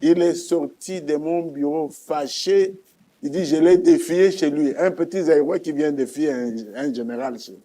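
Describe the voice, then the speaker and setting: Mellow Congolese man giving testimony. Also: a little high, moderate pace.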